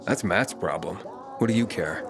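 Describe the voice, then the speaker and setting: An actor playing a gruff, guarded young male survivor in a video game, terse, blunt and suspicious. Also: sits low, normal speed. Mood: blunt, defensive. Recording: loud music behind the voice.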